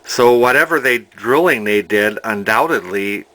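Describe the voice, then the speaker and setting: A man giving an informal hands-on repair demonstration in a halting way, thinking aloud as he explains. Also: fairly low pitch, animated, sharp tone, low-quality recording. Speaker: hobbyist radio repairer, presenter. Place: indoor workshop at a workbench.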